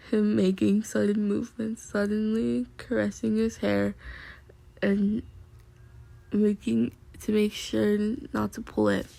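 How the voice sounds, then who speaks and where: A woman's voice: mid-range voice, vocal fry, a little mellow, no echo. A young woman reading fan fiction aloud, stumbling over words and dissolving into flustered giggles. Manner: giggly, flustered, breaking off to react. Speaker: fanfic reader.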